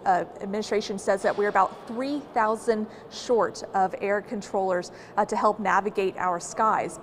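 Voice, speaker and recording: Young woman reporting live, background noise. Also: mid-range voice. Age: young adult. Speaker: news correspondent. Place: large stone rotunda. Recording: noisy live location sound.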